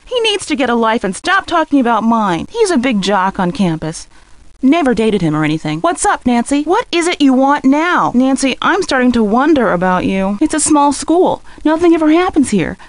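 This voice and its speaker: A woman voicing a blunt, defensive and scornful high school girl in a video game, with a sassy, dismissive way of talking. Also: no room sound.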